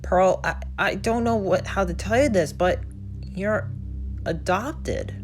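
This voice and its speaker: A woman voicing a timid, soft-hearted young male cartoon sea sponge who stammers hesitantly, with music behind. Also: mid-range voice, slow pace, voice pushed up, close to an everyday voice. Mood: anxious.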